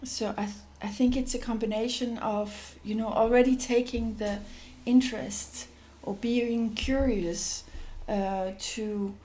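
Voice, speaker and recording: A woman's voice: on the high side, fairly even intonation, unhurried, few pauses, mellow, slight background noise, low-quality recording. A woman from Denmark, an NGO executive director, answering interview questions thoughtfully with many fillers and restarts.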